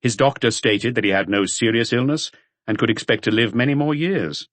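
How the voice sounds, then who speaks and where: A man reading a mystery audiobook as scripted narration, in a measured storytelling manner. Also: medium pitch, a bit bright, dry recording.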